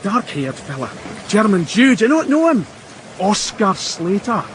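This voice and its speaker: An actor playing a chatty, streetwise informant, spinning slangy tales in a radio drama. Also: male voice, high-pitched, very animated, a little slow. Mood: haggling. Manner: slangy, anecdotal. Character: chatty streetwise male police informant haggling. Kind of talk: radio crime drama acting. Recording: noisy rainy street sound effects.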